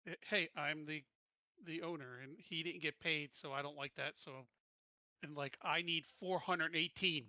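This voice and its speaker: Sharp, higher-pitched man chatting casually. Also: steady tone, normal speed, no echo, lo-fi sound. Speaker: tabletop game player. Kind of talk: casual table chat.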